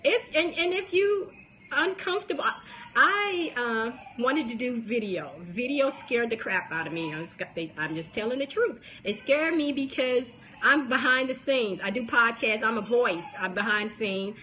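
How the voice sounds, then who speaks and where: Woman in her 40s, kinda high baby voice, chatty and animated, lots of restarts, live on her phone, bit of faint hiss. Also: moderate pace, poor audio. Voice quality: youthful baby voice. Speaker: book promotion coach and podcaster. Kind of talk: casual live-stream chat.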